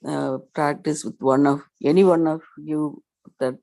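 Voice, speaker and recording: A woman with a low voice, a member of a study group, talking hesitantly over a video call. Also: fairly even intonation, measured pace, gravelly, mellow. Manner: hesitant, many fillers and restarts. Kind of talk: casual discussion.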